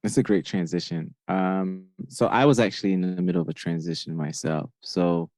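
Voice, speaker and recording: Low, mellow-voiced man chatting as guest. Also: fairly even intonation, normal speed, no echo. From Honduras. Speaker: podcast guest. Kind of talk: casual conversational storytelling.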